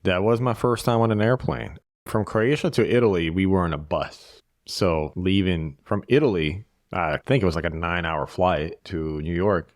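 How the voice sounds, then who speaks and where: A man, a podcast guest speaking in a measured, reflective way and repeating his key phrases. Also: deep voice, no room sound, studio quality. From Bosnia. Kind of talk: reflective interview conversation.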